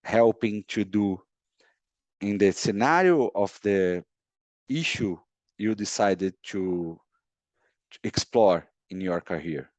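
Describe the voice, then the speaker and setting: A middle-aged Brazilian man whose first language is Portuguese, speaking English with a Brazilian accent and often pausing to search for words on a video call. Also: on the low side, a little mellow, no room sound. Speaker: guest, sports lawyer. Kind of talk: podcast interview.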